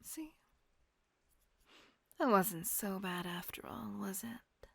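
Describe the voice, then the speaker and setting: A woman voicing a kind, doting female vampire mistress in an audio roleplay, speaking in a soft, coaxing whisper close to the mic. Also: medium pitch, slow pace, vocal fry, husky, no room sound, exaggerated voice.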